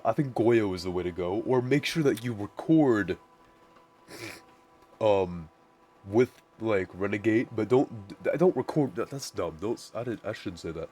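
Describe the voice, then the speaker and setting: A man with a naturally deep voice, casually walking through his music production setup, rambling with lots of "like" and restarts. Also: a little mellow. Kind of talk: casual screen-share tutorial.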